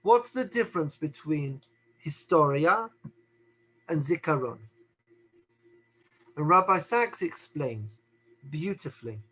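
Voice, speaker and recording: A middle-aged man with a high voice teaches in a measured, unhurried way. He repeats key words and poses rhetorical questions to his listeners before he answers them. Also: animated, bright, forceful tone, slightly rough, low-quality recording. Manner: deliberate, repeats phrases, asks rhetorical questions. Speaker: Torah teacher. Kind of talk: teaching talk on the Haggadah.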